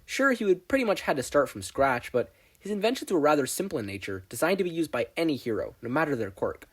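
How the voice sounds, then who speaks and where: A podfic narrator reading a scripted story aloud in an expressive storytelling style. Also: mid-range voice, fast talker, husky.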